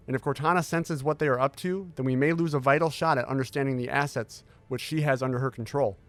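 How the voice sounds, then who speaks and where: Music plays under an actor's portrayal of a determined male intelligence scientist in an audio drama. He has a medium-pitched voice and delivers his words in a formal, measured, authoritative briefing manner. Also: close to an everyday voice.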